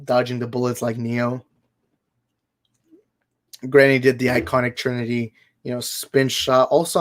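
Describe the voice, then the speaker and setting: Hoarse man rambling on a livestream. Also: medium pitch. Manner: rambling, self-correcting, laughs easily. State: hoarse, tired voice. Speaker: livestream host. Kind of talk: casual live movie chat.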